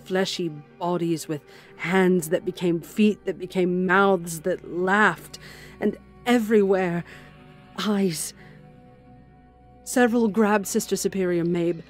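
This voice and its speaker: A woman's voice: lowish voice, a little mellow, natural voice. A woman voicing a grim, zealous warrior nun who recounts a horror in solemn, intense dramatic narration.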